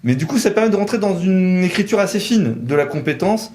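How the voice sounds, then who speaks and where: A man's voice: sits high, animated, a little fast, darkish tone. A man presenting a conversational legal explainer as a lawyer, reasoning methodically and setting out nuances point by point.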